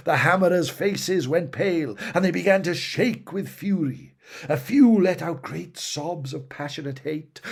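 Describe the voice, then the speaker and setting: A man's voice: fairly high pitch, gravelly. A man reading a fantasy battle story aloud with theatrical, emphatic delivery. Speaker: audiobook reader. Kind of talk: dramatic fantasy story narration.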